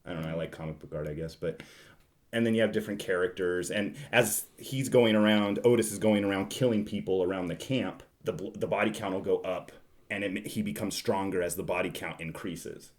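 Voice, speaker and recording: A man with a fairly low voice speaks as a podcast host. He chats casually about games in an informal, conversational way. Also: brisk.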